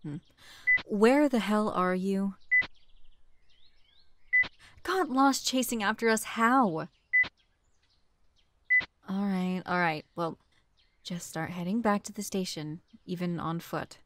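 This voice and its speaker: A woman's voice: mid-range voice, takes it slowly, long pauses, close to an everyday voice. A woman playing a commanding, sardonic chief detective in a scripted audio roleplay, brusque and relentless. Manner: brusque, commanding, swearing interrogator. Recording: clear background noise.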